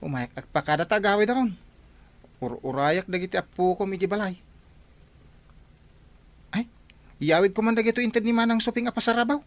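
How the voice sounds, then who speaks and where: A woman's voice: medium pitch, brisk, long pauses, no room sound, natural voice. A woman acting an inquisitive, prodding village woman in an Ilocano comedy radio drama, conversational and questioning, with an Ilocano accent.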